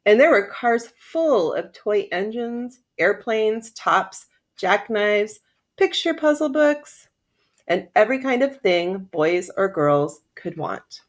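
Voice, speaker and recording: A woman reading a children's storybook aloud as a teacher would, with expressive delivery. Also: mid-range voice, slow pace. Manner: expressive, acts out sounds and characters.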